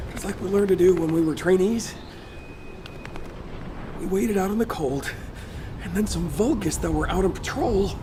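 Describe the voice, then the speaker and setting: A woman's voice: mid-range voice, noisy background, lightly acted. A woman voicing an earnest, weary young hunter in a video game, speaking hesitantly.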